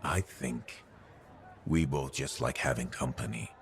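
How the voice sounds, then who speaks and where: Gruff older veteran, game role: extremely deep voice, roguish, weary, faint ambience behind. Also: male voice, measured pace, slight background noise, exaggerated voice. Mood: haunted, brooding. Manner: roguish, bantering, world-weary. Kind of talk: video game dialogue.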